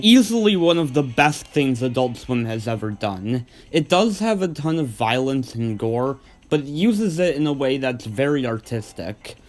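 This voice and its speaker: A man hosting a video countdown, giving scripted review commentary in an informal, earnest way and stressing key words. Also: medium pitch. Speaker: host of a video list of cartoon episodes.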